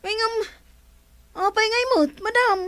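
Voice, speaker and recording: A woman's voice: extremely high pitch, a little slow, fairly bright tone, dry recording. A woman in a radio drama playing a chatty, gossipy male helper who teases and laughs often. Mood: curious.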